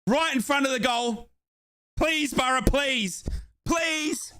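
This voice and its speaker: Guy yelling live match commentary from his home office, super high voice, bright and punchy. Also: slow pace. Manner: excitable, shouts chants and play-by-play. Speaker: live watchalong host.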